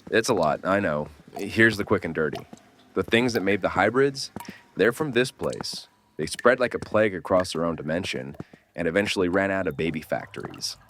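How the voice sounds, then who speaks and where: An actor playing a gruff, weary survivor with a wry, streetwise way of explaining things, in a horror audio drama. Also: male voice, deep voice, lively intonation, normal speed, fairly bright tone, a little hiss or hum. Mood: grim explaining. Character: gruff, weary male survivor of a nightmare dimension.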